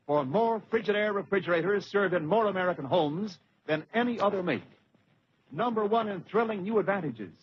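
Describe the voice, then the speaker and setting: A man announcing a scripted old-time radio advertisement in an emphatic, declamatory way. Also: high-pitched. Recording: dull vintage radio recording, faint noise.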